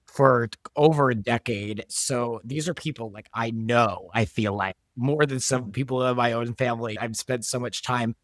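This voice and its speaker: A man's voice: medium pitch, normal speed, hardly any pauses, dry recording, clean studio sound. A man in an interview, speaking conversationally and reflectively, as if thinking aloud about creative work. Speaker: podcast guest, audio drama writer and sound designer. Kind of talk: behind-the-scenes interview chat.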